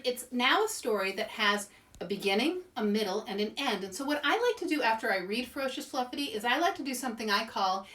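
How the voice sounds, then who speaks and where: Bright, high-voiced woman leading story time. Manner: encouraging, addresses young children directly with simple repeated words; animated chanting of action words. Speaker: children's author hosting story time. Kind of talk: children's read-along with call-and-response movement instruction. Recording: roomy echo, distant microphone.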